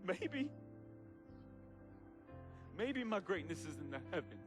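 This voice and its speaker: An actor playing a remorseful, devoted young man who confesses and says farewell in a dramatic video game monologue, with slangy phrasing and deliberate pauses. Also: high voice, very animated, exaggerated voice. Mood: emotional, solemn. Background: music.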